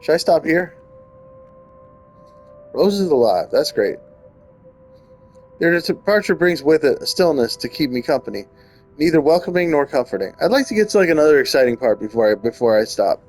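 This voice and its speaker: A man's voice: a little high, very animated, normal speed, pauses often, darkish tone. A man hosting a gaming video, reading game text aloud and adding casual comments. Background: game music.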